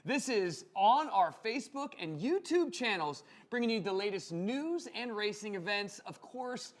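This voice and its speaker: A man co-hosting a show, talking with energetic, playful banter. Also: high-pitched, normal speed. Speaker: co-host.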